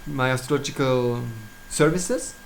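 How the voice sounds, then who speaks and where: A man from Switzerland whose first language is German, speaking English in a casual solo video about his astrology services, halting often with fillers and restarts. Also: mid-range voice, creaky, slight background noise.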